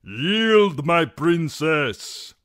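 Man playing a stern captain, slow ringing commands. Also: on the high side, sing-song, no echo, studio quality, voice pushed up, heavily acted. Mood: commanding. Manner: formal, loud, measured command. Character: stern, commanding guard captain in fantasy tale.

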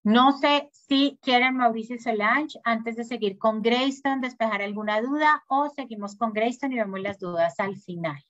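A woman's voice: fairly high pitch, moderate pace, few pauses, a bit bright, dry recording, muffled recording. A woman presenting study abroad programs in an upbeat, informative sales talk. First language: Spanish. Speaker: school sales manager presenting. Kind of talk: webinar presentation.

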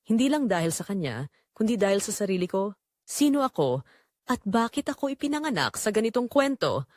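A woman's voice: mid-range voice, sing-song, fairly quick, darkish tone, no room sound, clean studio sound. A woman with a Filipino accent narrating a scripted audio drama in the first person, in a dramatic, confessional way. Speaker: narrator telling the story as its main character.

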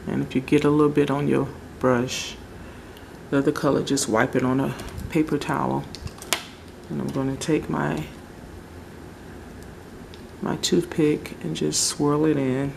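A woman casually talking through a home nail tutorial, relaxed and chatty, often searching for words. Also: low voice, unhurried, creaky, long pauses, slight background noise. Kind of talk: casual how-to narration. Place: home table, indoors.

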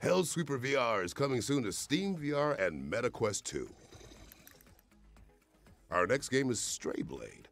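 A man presents a games showcase from a script. His voice is gravelly and swings in pitch over faint music. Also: sing-song, measured pace, long pauses. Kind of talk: scripted announcing.

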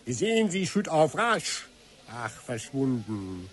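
An actor playing a fussy, excitable male government minister in a radio play, prim and quick to exclaim in outrage. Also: mid-range voice. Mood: eager. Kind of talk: 1990 radio play acting. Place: radio drama studio.

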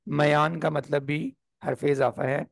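Male teacher with a sore throat, gravelly and unhurried, on a dry video call. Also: fairly high pitch, flat delivery, no echo. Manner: slow explanatory, frequent throat clearing. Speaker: Persian teacher. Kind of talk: online class lesson.

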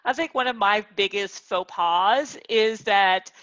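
A woman hosting a casual chat, talking in an animated way and often laughing as she tells her stories. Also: unhurried. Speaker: talk show host. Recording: dull, muffled low-fidelity audio.

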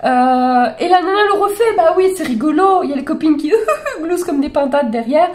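A woman in her forties, slightly hoarse from a cold, giving exasperated, incredulous reaction commentary to videos from her bedroom desk, with many fillers and restarts. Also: sits high, expressive, a little fast, darkish tone. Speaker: horse channel host reacting to videos. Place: small bedroom with gaming chair.

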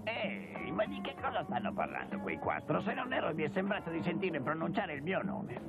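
Gravelly old cartoon grandpa, high-pitched, grumbling, blunt, nosy, music behind. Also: male voice, wildly swooping pitch, a little fast, exaggerated voice. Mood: nosy, muttering. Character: crotchety, nosy decrepit old grandfather, judo master. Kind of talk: animated cartoon dub.

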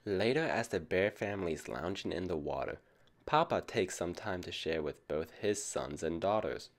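A man's voice: sits low, lively intonation, normal speed. A man reading a picture book aloud to children, addressing them like a teacher. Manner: asks young listeners questions as a teacher would. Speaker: storybook reader for children.

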